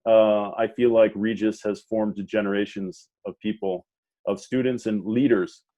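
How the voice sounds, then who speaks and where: A man moderating an online talk, speaking haltingly with many fillers and restarts. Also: on the low side, fairly even intonation, warm tone, dull audio. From Washington, D.C. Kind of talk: hesitant conversational introduction.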